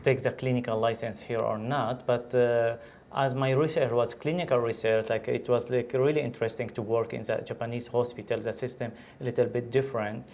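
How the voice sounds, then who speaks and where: A man's voice: medium pitch, fairly even intonation, a little fast, few pauses, a little mellow. A man from Syria, a physician, answering interview questions in English with many fillers and restarts. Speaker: guest, physician.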